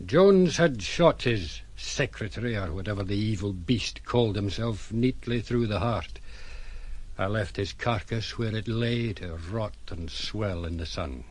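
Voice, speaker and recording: A low-voiced, sombre sea captain. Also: male voice, measured pace. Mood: bitter. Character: blunt ship's captain, sombre witness to tragedy. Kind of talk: audio drama monologue, recounting events.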